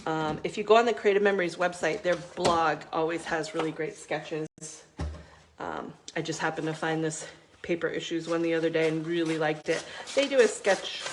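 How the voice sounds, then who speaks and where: A woman's voice: on the low side, noisy background. A middle-aged woman hosting a live crafting video, chatting casually with many ums while she works, still stuffy from a cold.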